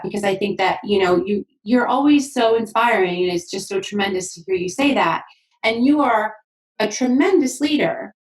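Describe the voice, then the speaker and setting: A woman hosting a podcast, affirming her guest in an enthusiastic, conversational way. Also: medium pitch. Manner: enthusiastic, affirming, fast bursts. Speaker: podcast host. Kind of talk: conversational interview. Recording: roomy sound from a distant mic.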